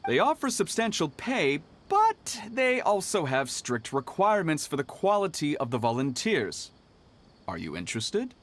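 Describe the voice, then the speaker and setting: A man's voice: fairly high pitch, wildly swooping pitch, normal speed. An actor voicing a helpful, talkative male clerk who explains procedures. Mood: businesslike. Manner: businesslike, explaining at length.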